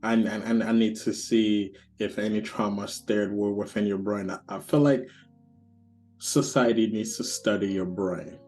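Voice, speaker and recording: A man's voice: lowish voice, steady tone, unhurried, darkish tone, soft background music. A man hosting a podcast as a therapist, talking in an encouraging, easygoing way. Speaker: therapist host.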